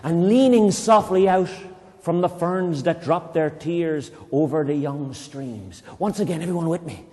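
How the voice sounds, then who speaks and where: An Irish man reading a poem aloud to children, reciting slowly and dramatically and breaking off into playful, chatty explanations. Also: a little high, darkish tone. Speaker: poetry reader leading children. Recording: long reverb in a hall.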